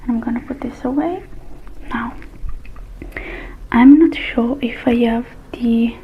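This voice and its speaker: A woman doing an ASMR roleplay as a technician, murmuring softly and mostly in a whisper, with unhurried pauses. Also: fairly high pitch, muffled recording, slight background noise.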